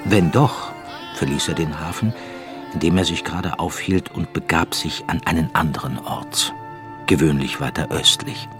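A man narrating a literary radio drama in a measured storytelling manner, reading from a script. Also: a bass voice. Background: music behind the voice.

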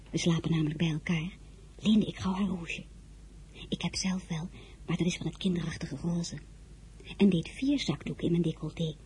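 A woman's voice: fairly low pitch, dark tone, slightly rough. An actress playing a headstrong, easily indignant teenage girl who confides her story like a diary in a radio drama. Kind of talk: radio drama narration and dialogue.

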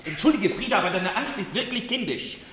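Impatient gent in old radio drama, fairly high voice, echoey and hissy. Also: male voice, lively intonation, fairly quick, sharp tone. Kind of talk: 1970s German radio play acting.

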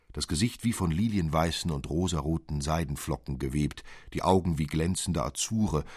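A man narrating a literary fairy tale as a measured, expressive storyteller. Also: a bass voice, slightly rough, no echo, clean studio sound.

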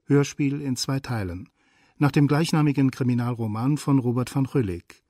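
A man's voice: mid-range voice, normal speed, mellow, no room sound, clean studio sound. A man announcing the title and cast of a radio drama in a scripted style.

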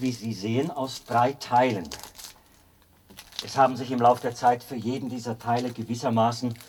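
An actor in a radio play playing a devoted, zealous penal colony officer who explains things in a talkative, pedantic way. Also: male voice, medium pitch, natural voice. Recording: noisy binaural radio play recording with clicks.

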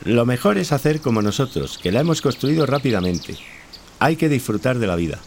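An actor playing a lazy, fearful young pig with an excitable, fretful manner in a children's radio play. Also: male voice, medium pitch, fairly quick, vocal fry, slight background noise. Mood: carefree. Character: lazy, fearful young male pig, carefree cartoonish brother.

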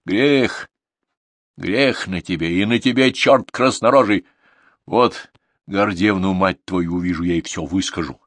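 A man's voice: steady tone, normal speed, no echo. A man voicing a scolding, pious old village woman who shrills curses at a younger man. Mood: furious. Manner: shrill, cursing, crosses herself.

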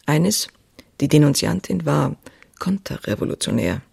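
Casting: restless young woman writer in an audio drama diary, husky with gravelly fry, intimate and confessional. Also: sits low, very animated, unhurried, a little mellow, exaggerated voice. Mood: bitter, defiant. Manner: intimate, confessional, often dropping into breathy near-whispers.

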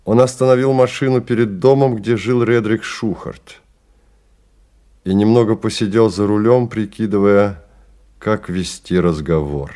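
A man narrating a radio drama in measured, deliberate phrases with pauses. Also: fairly low pitch, dark tone. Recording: radio play with music behind.

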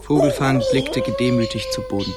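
A man's voice: very high voice, wildly swooping pitch, fairly quick, exaggerated voice. A man narrating a radio play in a scripted storytelling style.

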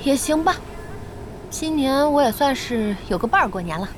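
A bright-pitched, bossy young café boss. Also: female voice, expressive, moderate pace, noisy background. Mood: bossy, caring. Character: brisk, bossy young female internet-café owner. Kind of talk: Chinese audio drama acting.

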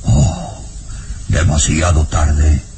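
An actor with a Mexican accent playing a fearful, secretive elderly professor in an old radio serial, halting and breathy. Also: male voice, extremely low pitch, level tone, very noisy, exaggerated voice. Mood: alarmed, hushed. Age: older. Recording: old radio serial with loud background music.

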